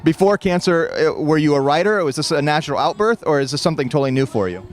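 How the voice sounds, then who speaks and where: Guy interviewing at a charity race, talks real quick, upbeat, some uhs. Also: on the high side, animated. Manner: upbeat, brisk questions with fillers. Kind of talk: event interview. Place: outdoor charity race booth area.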